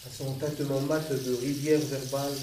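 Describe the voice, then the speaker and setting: A man reciting a poetic dramatic monologue in a measured, deliberate way, with pauses between phrases. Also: medium pitch, level tone. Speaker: monologue performer. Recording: roomy, distant, noisy with water-like hiss.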